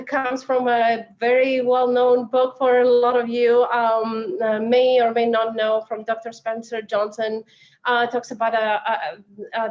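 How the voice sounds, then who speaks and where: A woman with a fairly high, flat-toned voice, coming through muffled and slightly dull video-call audio. She speaks haltingly, with many ums. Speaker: panel speaker. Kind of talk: online talk.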